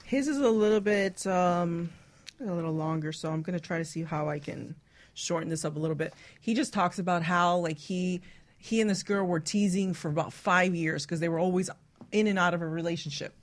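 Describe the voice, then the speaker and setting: A woman hosts a talk radio show in a slightly low-pitched voice. Her style is candid and conversational, whether she is chatting or reading a listener's story aloud. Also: a little fast. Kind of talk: candid casual chat, reading out listener stories.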